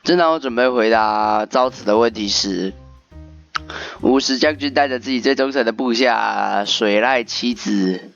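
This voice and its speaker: A man's voice: mid-range voice, normal speed, vocal fry, a bit bright. Male gamer, dull lo-fi recording. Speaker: let's play commentator. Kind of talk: reading game text aloud, casual commentary. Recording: dull lo-fi mic, game music behind. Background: game music.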